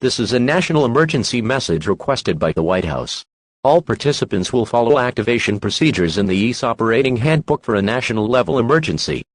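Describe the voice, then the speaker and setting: A man's synthetic-sounding voice reading a formal emergency bulletin as an announcer, flat and impersonal. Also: medium pitch, fast talker, no room sound.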